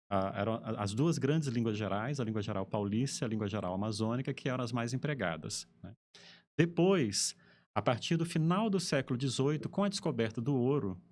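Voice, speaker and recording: A man's voice: medium pitch, a little mellow. Brazilian man explaining at length. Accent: Brazilian Portuguese. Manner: explanatory, lecturing, frequent "né?" tags. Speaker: linguist guest. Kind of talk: conversational interview.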